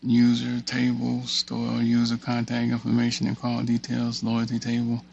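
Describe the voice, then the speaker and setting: A man with a gravelly, husky voice talks through an on-screen tutorial in a flat, even tone. He reads from his notes and drops muttered asides to himself as he goes. The recording is dull and lo-fi. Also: medium pitch, normal speed. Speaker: tutorial host. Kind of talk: casual screen-share walkthrough, reading a document aloud with off-the-cuff remarks.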